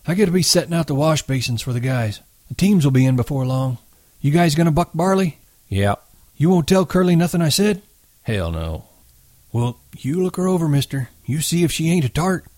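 A man's voice: fairly high pitch, expressive, a little fast, darkish tone, no echo, voice pushed up, lightly acted. A man voicing a nervous, gossipy old ranch swamper with a sly, wheedling manner. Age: older.

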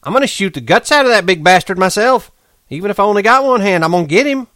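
A man voicing a furious, hotheaded young ranch boss's son who shouts high and hard. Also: big pitch swings, a little fast, dry recording, clean studio sound, heavily acted.

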